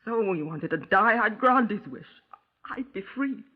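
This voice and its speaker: An actress playing a distraught, self-pitying middle-aged wife making a halting, insistent confession in a 1950s radio crime drama. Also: female voice, medium pitch, animated. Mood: agitated, defiant. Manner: halting, brooding, insistent, with rhetorical tag questions. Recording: muffled, lo-fi 1950s radio recording.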